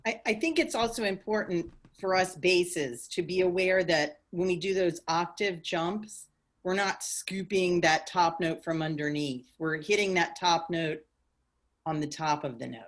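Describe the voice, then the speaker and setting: A woman in a choir rehearsal making an earnest point to fellow basses. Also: a little slow. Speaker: choir member. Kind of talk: rehearsal comment.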